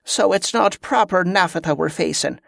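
A man's voice: high voice, normal speed, gravelly, a bit bright, dry recording, studio quality, pitched above the performer's own voice, heavily acted. A man voicing a stern, scornful female military commander who gives clipped orders in inverted dialect phrasing, in a fantasy audiobook. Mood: assessing, scornful.

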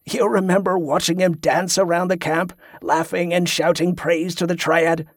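A narrator as a reflective man, middle-pitched, reminiscing. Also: little pitch movement, moderate pace, no room sound, studio quality, close to an everyday voice. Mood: wistful. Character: plain-spoken man reminiscing about a lost friend.

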